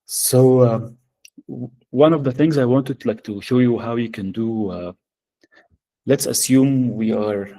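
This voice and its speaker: Arabic-accented male workshop presenter, mellow tone, halting delivery full of "uh"s, on a video call. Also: on the low side, low-quality recording. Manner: hesitant, many fillers. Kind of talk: live software demo.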